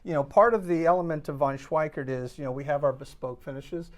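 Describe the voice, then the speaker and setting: Higher-voiced man, halting product explainer. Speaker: loudspeaker company representative being interviewed. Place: hotel exhibit room at an audio show.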